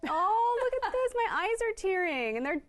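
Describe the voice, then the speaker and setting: High, swooping, gushing woman presenter. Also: normal speed. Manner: gushing, excitable. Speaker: TV news presenter. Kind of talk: casual studio chat.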